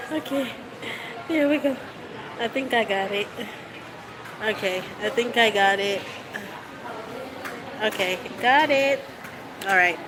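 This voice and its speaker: A young woman with a stuffy, cold-clogged voice chats loosely into a phone she holds at arm's length. She fills her talk with lots of ums and easy asides. Clear background noise runs under the recording. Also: on the high side, slow, long pauses. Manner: chatty, relaxed, with frequent fillers and laughs. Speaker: vlogger livestreaming to fans. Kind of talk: casual live chat. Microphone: phone held at arm's length.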